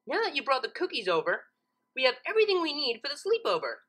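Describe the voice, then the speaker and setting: A woman's voice: high voice, wildly swooping pitch, fast talker, fairly bright tone, pitched above the performer's own voice, exaggerated voice. A woman voicing an earnest, studious young female cartoon pony who is exacting, questioning and easily flustered. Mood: pleased.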